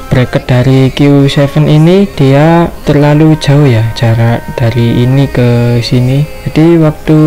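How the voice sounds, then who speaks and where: A man's voice: medium pitch, warm tone. A man giving a casual product review in an informal, explanatory way. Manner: informal, explanatory, frequent tag words.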